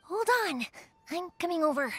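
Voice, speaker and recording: A woman voicing a cheerful, warm-hearted young fireworks maker in a video game, chatty, expressive and reassuring. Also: very high voice, normal speed. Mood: startled, hushed urgency. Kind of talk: English video game voice acting. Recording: music behind the voice.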